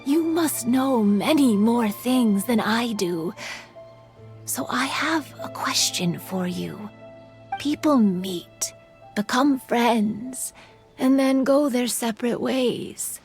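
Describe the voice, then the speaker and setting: Slow, creaky, wistful spirit girl. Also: on the high side, faint music behind. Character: wistful ancient female yokai spirit in girl's form. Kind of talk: video game voice acting.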